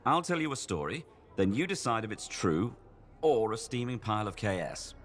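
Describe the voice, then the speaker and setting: A man's voice: fairly low pitch, very animated, normal speed, fairly bright tone. An actor playing a playful, joking male traveler in a video game cutscene. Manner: joking, teasing, theatrical.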